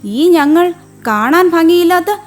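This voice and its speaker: Woman as a high-pitched, vain cartoon fish. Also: lively intonation, slow, soft background music, full character voice. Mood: vain, mocking. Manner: haughty, mocking. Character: vain, scornful female pond fish. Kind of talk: children's cartoon story voice acting.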